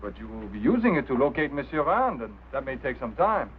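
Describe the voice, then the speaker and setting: Over a thin, hissy vintage film track comes a man acting a French Canadian road crew foreman. He is stubborn and put-upon, phrasing things haltingly at an ordinary male pitch. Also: sing-song, phone-quality sound, close to an everyday voice. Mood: insistent. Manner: halting, non-native phrasing. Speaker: actor. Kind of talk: mid-century screen drama acting. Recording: thin, noisy old film soundtrack.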